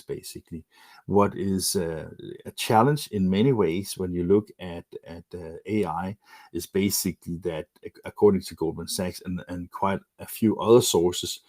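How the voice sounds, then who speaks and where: A man with a Danish accent, presenting a webinar lecture in English and often pausing with fillers and restarts. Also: deep voice, darkish tone, no room sound. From Denmark. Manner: hesitant, many fillers and restarts. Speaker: webinar presenter, magazine editor.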